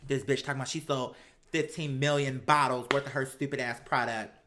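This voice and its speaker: A woman doing casual gossip commentary on reality TV, sassy and animated, with slangy, profane talk and mocking quotes. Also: very deep voice, brisk. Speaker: host of a live commentary show.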